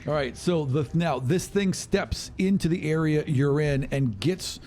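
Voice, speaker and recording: A man with a slightly high voice narrates a tabletop role-playing game. He talks casually as game master, rephrasing as he improvises each description. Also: measured pace. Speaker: game master of an actual play podcast.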